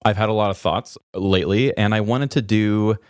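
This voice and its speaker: A man's voice: lowish voice, moderate pace, darkish tone, no room sound, clean studio sound. A man hosting a podcast, talking casually and narrating his own writing. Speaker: podcast host and story writer.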